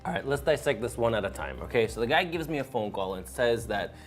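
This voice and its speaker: A man who owns a trucking company, explaining his side in a measured, methodical way. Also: mid-range voice, animated, faint music behind. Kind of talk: explaining to camera.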